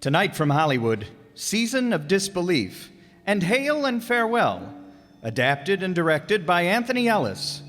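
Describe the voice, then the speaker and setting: A man's voice: high-pitched, very animated, unhurried, a bit bright, full character voice. A man playing a formal old-time radio announcer and storyteller, reading scripted narration. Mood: formal, grand. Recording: reverberant hall with music behind.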